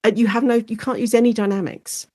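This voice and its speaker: A woman with an English accent explaining things as an expert guest in a conversational interview, in an animated, informal way. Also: on the high side, fast talker, dry recording, clean studio sound.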